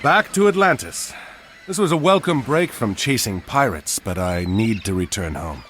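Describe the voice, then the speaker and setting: An actor playing a bold, decisive mythic war hero in a game cutscene, speaking with authority. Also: male voice, fairly low pitch, expressive, normal speed, fairly bright tone, slight background noise. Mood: calm. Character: bold, commanding Greek-myth war hero admiral. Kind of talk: dramatic game cutscene acting. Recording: faint music behind the voice.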